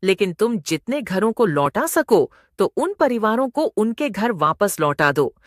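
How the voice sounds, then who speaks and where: A woman's voice: mid-range voice, big pitch swings, measured pace, no echo, clean studio sound. A woman voicing a kind, selfless mother elephant in a children's moral-story cartoon, speaking earnestly. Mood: forgiving. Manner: earnest, explanatory. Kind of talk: Hindi animated moral story dialogue.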